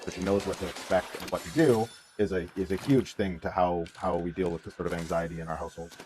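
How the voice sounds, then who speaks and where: Low-voiced man, halting and reflective. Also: fairly even intonation, darkish tone, slight background noise. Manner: halting, searching for words. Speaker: livestream co-host. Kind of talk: casual, reflective chat.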